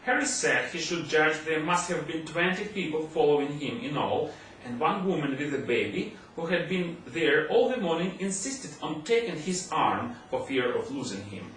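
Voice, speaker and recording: Male audiobook reader, fairly high pitch, humorous classic fiction; distant, heavily echoing recording with faint noise. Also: animated, normal speed, a bit bright. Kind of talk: scripted narration of a humorous classic story. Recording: very echoey room, distant mic, faint noise.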